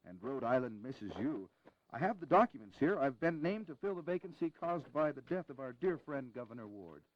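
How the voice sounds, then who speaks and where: A man's voice: mid-range voice, sing-song, highs cut off, close to an everyday voice. An actor playing an earnest, diplomatic delegate in his forties, speaking formally in an audio drama. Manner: formal, measured wording.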